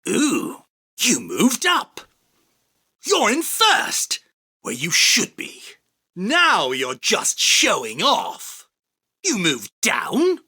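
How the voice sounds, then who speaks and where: A man voicing an arrogant, theatrical, self-proclaimed king of card duels in an anime game, with a husky, forceful, declamatory delivery. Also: high voice, huge pitch swings, extremely slow, full character voice. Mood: condescending. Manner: pompous, boastful, declamatory. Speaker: voice actor. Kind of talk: anime game character battle lines.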